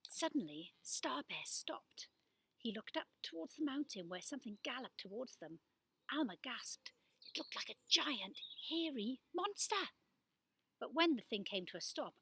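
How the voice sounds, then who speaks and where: A woman reading a children's storybook aloud as a storyteller, with expressive, dramatic delivery. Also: fairly high pitch, husky.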